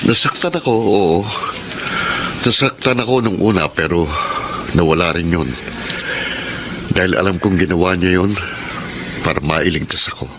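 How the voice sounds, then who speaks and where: Radio drama husband, Filipino: low voice, devoted, earnest, measured, noisy background. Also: male voice. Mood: firm, hurt. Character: devoted, forgiving husband, calm and steadfast.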